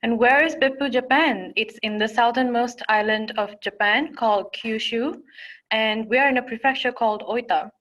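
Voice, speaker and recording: A young woman from Singapore presents admissions information in a webinar. Her voice sits a little high. She talks in a steady, informative way with small fillers and restarts. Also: moderate pace, poor audio. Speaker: admissions counselor.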